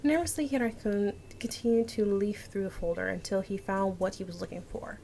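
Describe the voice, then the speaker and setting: A woman's voice: medium pitch, steady tone, fairly quick, vocal fry, mellow, slight background noise. A young woman chatting casually and reading a visual novel aloud as a streamer. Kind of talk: casual let's-play chat and dramatic read-aloud narration.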